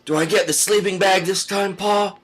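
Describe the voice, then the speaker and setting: A man's voice: high voice, fairly even intonation, normal speed, fairly bright tone, faint music behind. An actor playing a whiny, hungry young Southern country boy in an audio drama. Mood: pleading.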